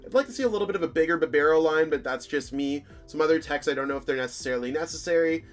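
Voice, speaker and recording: A man with a slightly hoarse voice, hosting a casual, rambling commentary over card game deck lists, full of fillers and self-corrections. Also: fairly high pitch, very fast, faint music behind.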